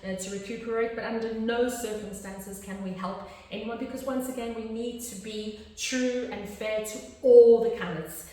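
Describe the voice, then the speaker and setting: A woman's voice: a little high, steady tone, normal speed, few pauses, dark tone. Brief: woman examiner, encouraging and upbeat, heard from afar with long reverb. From South Africa. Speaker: teacher and speaking examiner. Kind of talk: casual Q&A discussion. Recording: echoey room from far off.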